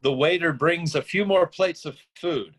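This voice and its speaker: A man's voice: fairly high pitch, measured pace, fairly bright tone. A man narrating, reading scripted stage directions from a screenplay.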